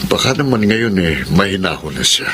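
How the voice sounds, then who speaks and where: A man playing a forgiving, patient older father in a radio drama, earnest and measured. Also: low-pitched, big pitch swings, fairly bright tone, slight background noise. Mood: calm, earnest. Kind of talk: Tagalog radio drama acting. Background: music.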